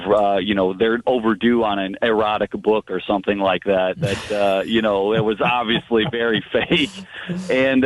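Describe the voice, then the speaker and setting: A man's voice: mid-range voice, no room sound. Man reminiscing over a dull phone line. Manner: rambling, frequent 'you know' asides. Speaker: phone-in guest sharing memories. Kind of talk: casual reminiscing. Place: calling in to a radio show. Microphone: telephone. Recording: muffled phone line on radio.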